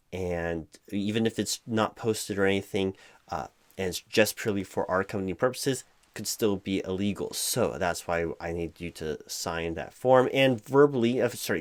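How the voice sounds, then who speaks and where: A man playing a flustered, distracted hiring manager in a comedic audio roleplay, speaking in a halting, stammering, awkwardly formal way. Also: deep voice, dry recording. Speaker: performer playing a job interviewer. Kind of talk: one-sided comedic audio roleplay, loud spoken.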